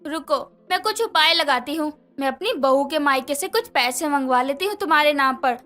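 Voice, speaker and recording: A woman's voice: sits high, lively intonation, normal speed, pushed and bright. A woman voicing a greedy, scheming middle-aged mother-in-law in a cartoon, talking in a bossy, scolding way. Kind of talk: cartoon voice acting.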